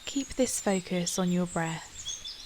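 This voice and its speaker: A woman guides a meditation with a calm, soothing delivery. Her voice sits a little low and she speaks very slowly, leaving long pauses between phrases. Clear birdsong and outdoor nature ambience run behind her. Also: noisy background.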